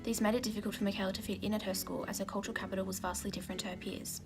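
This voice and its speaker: A young woman, a university student, reading a scripted analysis in a brisk, matter-of-fact way. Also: mid-range voice, level tone. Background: background music.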